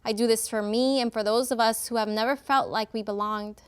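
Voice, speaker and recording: Young adult woman, Nicaraguan-born, Spanish first language; passionate declamatory talk, bright clear voice. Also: fairly high pitch, fairly even intonation. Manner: impassioned, declamatory, deliberate phrasing. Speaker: speaker. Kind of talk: prepared spoken-word talk.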